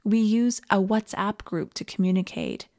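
A Canadian woman giving a reflective, scripted sermon in an earnest and measured manner. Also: mid-range voice, steady tone, darkish tone. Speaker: preacher.

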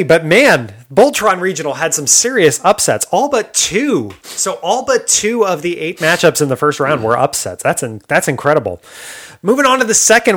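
A man's voice: high-pitched, a bit bright. A man hosting a casual podcast chat, upbeat and laughing often as he runs through the picks. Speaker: podcast host.

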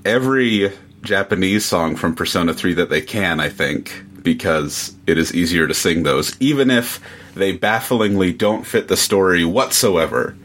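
A man speaking as a podcast guest, giving casual opinions in a measured, halting way. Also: low-pitched, fairly bright tone, slight background noise. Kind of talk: casual review chat.

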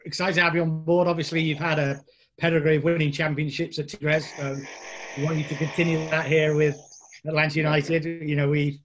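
A man's voice: fairly high pitch, little pitch movement, fairly quick, a bit bright, muffled recording, a little hiss or hum. A man interviewing a new signing for his club, asking friendly, easygoing questions.

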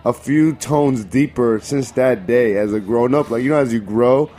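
A man co-hosting a casual festival podcast, tired, his voice worn down from yelling. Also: mid-range voice, moderate pace. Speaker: podcast co-host. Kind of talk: casual chat.

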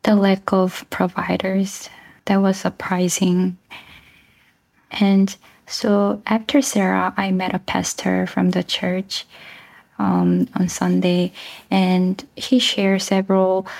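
A young woman from South Korea with a Korean accent, a non-native speaker answering interview questions earnestly in halting phrases. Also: mid-range voice, level tone, creaky, a little mellow, rough recording. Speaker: interview guest, mental health nurse and researcher.

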